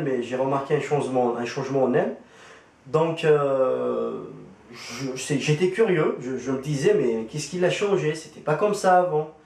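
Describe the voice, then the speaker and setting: A man, a Kabyle speaker, talks through his testimony in a halting, casual way, pausing often on fillers. His voice sits at an ordinary middle pitch. It sounds roomy and echoey, picked up from some distance. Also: darkish tone. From Algeria. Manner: hesitant, many fillers and restarts. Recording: roomy echo, distant from the mic.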